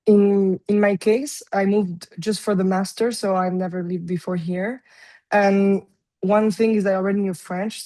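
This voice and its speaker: Mellow, even-toned woman chatting. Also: fairly low pitch. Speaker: postgraduate alumna. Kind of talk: casual webinar chat.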